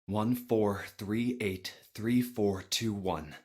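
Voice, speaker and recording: A man's voice: on the low side, takes it slowly, darkish tone, slight background noise. An actor playing a bewildered young everyman with a hesitant, muttering delivery in a visual novel game. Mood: slow reciting.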